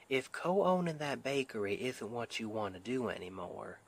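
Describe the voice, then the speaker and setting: A man's voice: on the low side, creaky, fairly bright tone, husky, exaggerated voice. A man playing a sweet, protective Southern husband with a Southern US accent, speaking in a folksy, soothing way in an intimate ASMR roleplay. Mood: reassuring, earnest. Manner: folksy, tender, unhurried, soothing.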